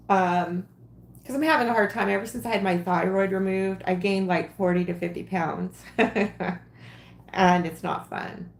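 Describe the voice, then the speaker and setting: Slow, chatty woman in her forties. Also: mid-range voice, gravelly, roomy sound. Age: 40s. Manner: rambling, chatty, frequent ums and giggles. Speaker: craft livestream host. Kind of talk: casual crafting livestream chat.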